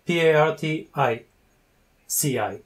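A man from Bulgaria in his forties, chatting on a video call through an earphone mic, playful and teasing, pausing to search for words. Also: a little high. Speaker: language learner interviewing a tutor. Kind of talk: casual video-call chat. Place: small room indoors with wooden doors. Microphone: wired earphone mic on a video call.

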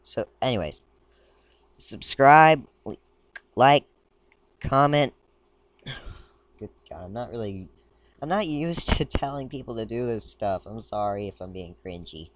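A man casually commenting while browsing a game page, talking in a rambling, halting way and stumbling as he reads aloud, a little sick. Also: mid-range voice, expressive, dry recording. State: a bit sick. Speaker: gaming video creator. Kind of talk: casual screen-recording commentary.

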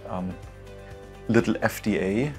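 A man in his sixties, a professor, explaining his program in a measured promotional interview on a lapel mic. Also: lowish voice, darkish tone, soft background music. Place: indoor studio, plain backdrop.

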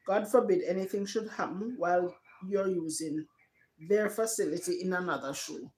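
A woman's voice: fairly low pitch, moderate pace, darkish tone, slight background noise. A middle-aged woman with a Jamaican accent casually hosting a live stream and reading out viewers' comments. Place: living room, indoors. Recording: roomy echo of a living room.

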